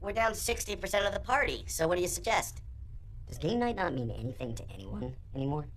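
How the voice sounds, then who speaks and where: An irritable tabletop gamer in a comedy film. The voice sits a little low and swings widely as it rants with theatrical sarcasm and a short temper. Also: female voice, big pitch swings, fast talker. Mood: exasperated. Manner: sardonic, theatrical ranting. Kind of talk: scripted comedy film acting.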